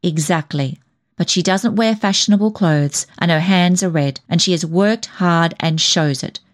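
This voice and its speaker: Woman doing a severe old cousin in a storybook, blunt n scolding, indignant but soft underneath. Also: fairly low pitch, moderate pace, natural voice. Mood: solemn, indignant.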